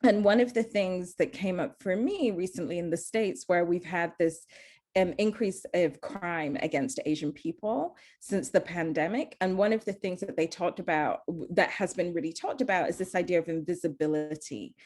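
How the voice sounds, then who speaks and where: A woman's voice: fairly low pitch, moderate pace, darkish tone. A woman from Zambia, speaking earnestly as a panelist and often restarting her sentences. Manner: earnest, searching, many restarts. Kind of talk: panel discussion.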